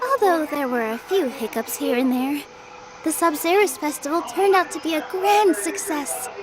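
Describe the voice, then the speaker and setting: A woman voicing a kind, graceful young theater dancer in a video game, speaking gently and courteously. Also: high-pitched, normal speed, a crowd in the background, natural voice, rough recording. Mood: friendly, explaining. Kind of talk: scripted video game dialogue.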